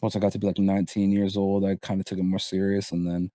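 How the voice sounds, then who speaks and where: A man's voice: low voice, monotone, fast talker, warm tone, no room sound, studio quality. A man in his early twenties from Barbados, a guest chatting casually, rambling with restarts and casual swearing. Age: early 20s. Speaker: guest content creator. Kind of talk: casual podcast chat.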